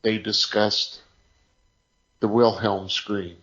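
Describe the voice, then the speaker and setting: A man telling anecdotes in casual podcast chat, speaking in short phrases broken by pauses. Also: on the low side, little pitch movement, fairly bright tone, dull audio, slight background noise. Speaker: podcast co-host.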